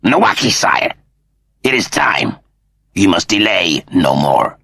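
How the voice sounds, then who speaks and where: A man's voice: low voice, big pitch swings, takes it slowly, creaky, sharp tone, breathy edge, dry recording, heavily acted. A man voicing an alarmed, deferential alien messenger in an audiobook story. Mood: urgent. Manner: alarmed, deferential to his commander. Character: urgent Gelf messenger, an alien male subordinate.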